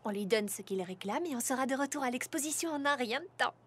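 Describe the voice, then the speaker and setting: High-voiced, composed pony princess. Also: female voice, animated, quick pace, fairly bright tone, husky, heavily acted. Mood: reassuring. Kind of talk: French animated series dub.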